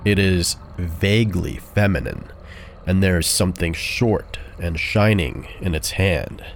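Slow, low-voiced man telling horror stories. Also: animated, creaky, slight background noise. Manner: measured, deliberate, dramatic. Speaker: podcast narrator. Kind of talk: dark scripted horror storytelling. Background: background music.

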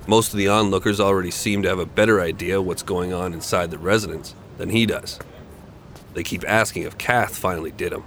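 A man's voice: on the low side, fairly bright tone, a little hiss or hum. A man giving a scripted, literary narration of a crime story.

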